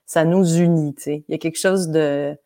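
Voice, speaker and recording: A woman with a Québécois French accent and a somewhat low voice talks casually as an interview guest. She laughs often and trips over her own restarts as she goes. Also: steady tone, moderate pace, dark tone. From Quebec. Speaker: guest pianist.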